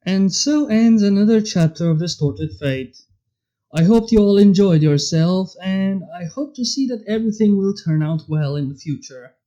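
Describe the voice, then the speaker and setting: A man's voice: sits high, lively intonation, normal speed, mellow, lo-fi sound. A man reading fan fiction aloud in a scripted narrating style. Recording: music under the voice. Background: background music.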